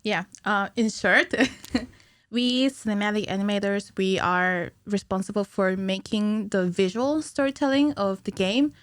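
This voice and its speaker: A young woman talks as an interview guest in a casual, chatty way. Her pitch is medium. The sound is close and dry, from a small studio. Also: unhurried, dry recording. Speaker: podcast guest, cinematic animator. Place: small curtained podcast studio. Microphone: studio microphone on stand, close.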